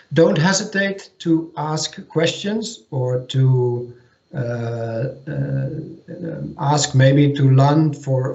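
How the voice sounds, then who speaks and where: A man giving an online technical presentation as a technical manager, speaking hesitantly with many pauses and restarts. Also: mid-range voice, darkish tone. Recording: echoey lo-fi video call audio.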